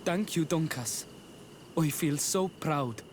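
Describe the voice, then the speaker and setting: A man's voice: a little high, takes it slowly, a little hiss or hum. An actor playing an earnest, humble young man in a video game cutscene. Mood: proud.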